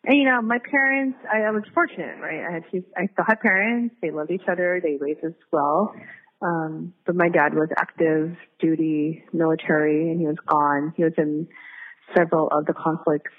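Lower-voiced American woman on tinny audio. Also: normal speed. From San Diego, United States. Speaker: guest, community activist. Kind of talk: casual conversational storytelling.

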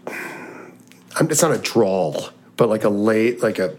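Husky low-voiced man, excitable interviewer. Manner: excitable, talks in quick bursts with restarts. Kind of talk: casual chat.

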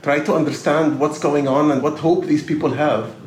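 An Arabic-speaking man from Israel, a podcast guest who talks thoughtfully and haltingly with many fillers. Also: fairly high pitch, steady tone, echoey room, slight background noise. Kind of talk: podcast interview.

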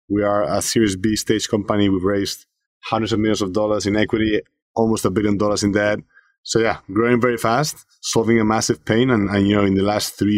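A man from Spain, a startup founder being interviewed on a podcast, talking fast with many fillers and restarts. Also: lowish voice, no room sound, clean studio sound. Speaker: startup CEO guest.

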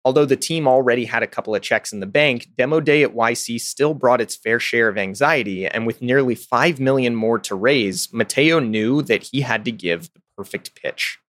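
A man reading scripted narration for a business podcast in a polished, even delivery. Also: mid-range voice, moderate pace, few pauses, no room sound, clean studio sound.

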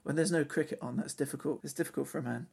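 A man's voice: fairly high pitch, steady tone, racing, vocal fry, darkish tone, breathy edge. An English man, a singer in a vocal group, chatting casually and laughing often on a call.

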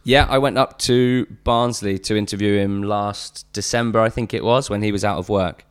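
English man, casual podcast chat, steady flat delivery, earnest, filler words. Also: lowish voice, normal speed, clear, resonant tone. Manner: chatty, earnest, many fillers and restarts. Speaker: podcast guest.

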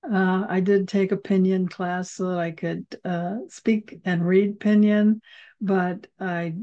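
A woman's voice: medium pitch, flat delivery, slow, mellow. A woman hosting a podcast and teaching a class on herbal remedies, chatty and rambling with many fillers, recorded over a video call. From United States. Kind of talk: casual teaching talk. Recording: slightly dull video call audio.

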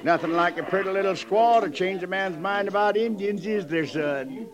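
A high-voiced, needling frontier bully. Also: male voice, normal speed. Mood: taunting. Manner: folksy, needling, mock-friendly drawling talk. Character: leering, goading frontier civilian bully. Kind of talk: 1950s radio western acting. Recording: crowd murmur behind.

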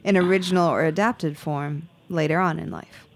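A woman from Michigan co-hosts a radio interview. She speaks softly and attentively and asks gentle questions. Her voice sits on the low side. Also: steady tone, moderate pace, no room sound. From Detroit suburbs, Michigan, USA. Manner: soft, attentive, many murmured mm's. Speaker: co-host, interviewer.

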